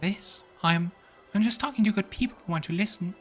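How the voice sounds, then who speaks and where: A man voicing a frail young elf girl who preaches kindness in a gentle, delicate, earnest way for a fantasy game. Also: high-pitched, brisk, breathy edge, pitched above the performer's own voice. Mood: modest. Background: game music.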